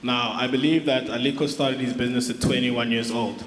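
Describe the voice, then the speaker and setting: A strongly echoing hall with faint noise carries the voice of a South African man. He speaks at a middle pitch, asking a formal question from the floor. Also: steady tone, fairly quick. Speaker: audience questioner, entrepreneur. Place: large hall audience.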